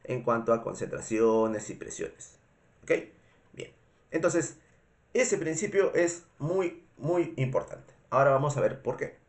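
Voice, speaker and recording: Male medical instructor, Peruvian accent, mid-pitched congested voice, informal and didactic, often checks understanding. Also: expressive, measured pace. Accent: Peruvian Spanish. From Peru. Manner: didactic, repetitive, frequent checking questions. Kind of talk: informal explanatory lecture.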